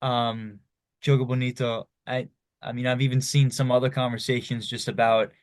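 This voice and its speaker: A man's voice: medium pitch, level tone, moderate pace, dry recording. Young man, podcast host, rambling questions, casual, dry close sound. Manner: rambling, loose questions full of you-knows. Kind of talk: casual interview chat.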